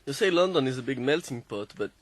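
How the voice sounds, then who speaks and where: A man co-hosts a student radio show, asking his partner scripted questions in a mid-pitched voice. The studio sound is dry and close. French is his first language. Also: lively intonation, quick pace, dry recording. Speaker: radio co-presenter.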